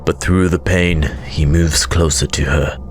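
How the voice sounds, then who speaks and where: A man reading scripted dramatic narration, telling the story in a measured, suspenseful way. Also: extremely low pitch, fairly even intonation, slight background noise. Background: loud dramatic music.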